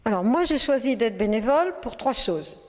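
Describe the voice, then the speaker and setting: A woman's voice: on the high side, animated, normal speed, a little mellow. A woman answering interview questions as a volunteer teacher. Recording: noisy reverberant hall.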